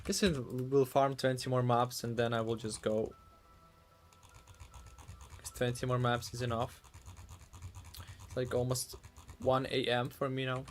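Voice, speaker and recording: A man from Ukraine, casually commentating a live game stream, halting and repeating words as he talks. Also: mid-range voice, little pitch movement, a little hiss or hum.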